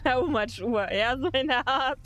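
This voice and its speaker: A woman's voice: high-pitched, slow pace, fairly bright tone. A young woman streaming a game, chatting casually with viewers and laughing often. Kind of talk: casual let's play chat.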